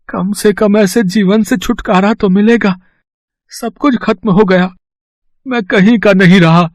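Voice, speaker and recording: A man playing an excitable merchant husband in a moral story, melodramatic and emphatic. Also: sits high, no room sound, studio quality. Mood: despairing. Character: excitable greedy merchant husband, prone to despair.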